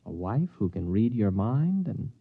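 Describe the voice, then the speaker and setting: A man's voice: fairly low pitch, sing-song, a little slow, breathy edge, phone-quality sound, exaggerated voice. An actor playing a bemused, hesitant, resigned man in a 1950s radio drama. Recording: thin, band-limited vintage radio recording.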